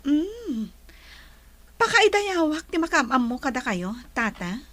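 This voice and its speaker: A woman's voice: high voice, very animated, slow, fairly bright tone, no room sound, heavily acted. A woman with an Ilocano accent playing an earnest young sweetheart and resort madam in a radio drama. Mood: concerned.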